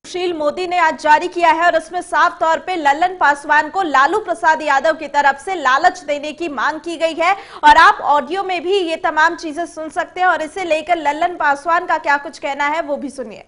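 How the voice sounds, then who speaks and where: A woman anchors live television news in a very high voice. She speaks emphatically and circles back over her phrases as she goes. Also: steady tone, moderate pace, few pauses, fairly bright tone.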